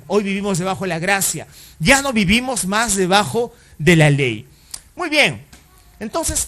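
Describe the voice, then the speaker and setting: A man's voice: sits high, a bit bright. A man preaching a sermon as pastor, talking conversationally and often putting rhetorical questions to the congregation, with fillers and self-corrections along the way.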